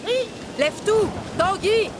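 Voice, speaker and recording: A woman voicing a gentle, worried village lady in a French cartoon dub. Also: very high voice, animated, slow, very noisy, full character voice.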